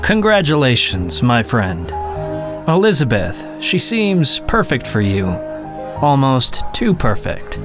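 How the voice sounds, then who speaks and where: A man playing an earnest, downcast hero in everyday guise in an audio drama, subdued and measured. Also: medium pitch, very animated, music in the background. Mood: polite, guarded.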